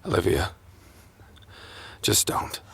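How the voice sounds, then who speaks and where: Brooding young man, tense sharp voice. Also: slow, slight background noise. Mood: strained, quiet. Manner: curt, intense, commanding. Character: brooding young man obsessed with proving guilt. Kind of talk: radio drama acting.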